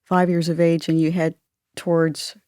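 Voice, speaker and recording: A woman with a slightly low voice hosts her podcast and asks her questions eagerly. The sound is dry and close. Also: moderate pace, clear, resonant tone, dry recording. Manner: enthusiastic, animated questioning. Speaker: podcast host. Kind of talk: casual interview chat.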